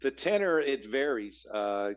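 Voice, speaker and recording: A man with a very low bass voice, answering interview questions as a musician guest, rambling with frequent restarts. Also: very animated, measured pace, lo-fi sound.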